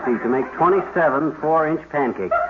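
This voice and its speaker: A very noisy, muffled 1950s radio comedy recording carries a fairly high-pitched husband played by a man. He is a middle-aged bumbler, folksy and slangy, always bragging about himself. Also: lively intonation, moderate pace, natural voice. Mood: boastful.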